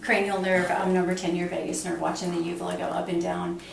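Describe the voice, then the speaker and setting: A woman's voice: lowish voice, little pitch movement, fast talker, gravelly. A middle-aged woman giving a physical exam, politely explaining each step to her patient as she goes. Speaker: clinical examiner demonstrating a physical exam. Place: small cinder-block exam room, indoors. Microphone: camera mic from across the room. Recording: very echoey room, distant camera mic, noisy.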